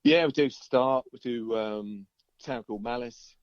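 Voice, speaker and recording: A man's voice: medium pitch, measured pace, no room sound. A middle-aged man chatting casually as a musician being interviewed, hesitant and rambling with a chuckle. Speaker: interviewed musician, band member. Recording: dull, lo-fi band-limited line.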